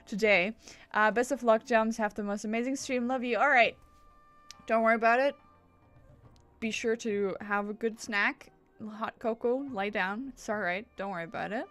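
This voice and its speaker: A woman's voice: on the high side, moderate pace, fairly bright tone, faint music behind. Rambling woman streamer, casual and chatty. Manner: rambling, self-interrupting; swears casually. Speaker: game streamer. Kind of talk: casual livestream gameplay chat.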